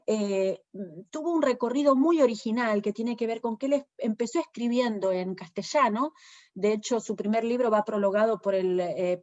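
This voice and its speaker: A woman with an Argentine accent, a poet giving a thoughtful literary talk, pausing often with fillers as she searches for words. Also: a little high, dull audio. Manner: thoughtful, hesitant, many fillers. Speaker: poet and presenter.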